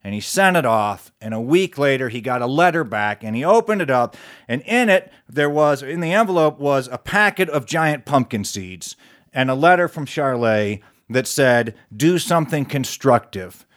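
A man's voice: medium pitch, animated, moderate pace, a bit bright. A man telling a comic tall tale live, folksy and conversational with asides. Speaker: storyteller.

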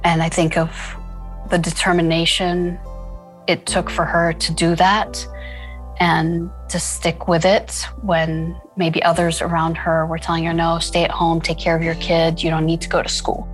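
A woman telling stories from her work in an interview, earnest and reflective, with many pauses and fillers. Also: sits low, completely flat delivery, soft background music. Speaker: founder of a refugee school. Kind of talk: interview storytelling.

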